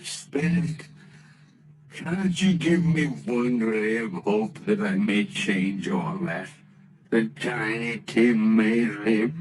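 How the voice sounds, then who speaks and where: An actor playing a frightened old miser who pleads with a ghost in trembling, often whispered lines, in old-time radio drama style. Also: male voice, fairly low pitch, takes it slowly, a little hiss or hum, poor audio. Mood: fearful pleading. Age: older. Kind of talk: 1940s radio acting.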